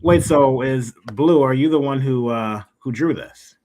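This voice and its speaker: A man's voice: medium pitch, unhurried, darkish tone. A man with a mid-range voice hosts a livestream interview in a casual, conversational way. There is some background noise behind his speech. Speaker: podcast host and interviewer.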